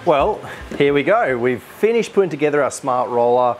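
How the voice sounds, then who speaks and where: A man's voice: mid-range voice, expressive, normal speed, over music. An Australian man hosting a product unboxing. He talks with enthusiasm and often restarts his sentences with fillers. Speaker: aquarium shop host.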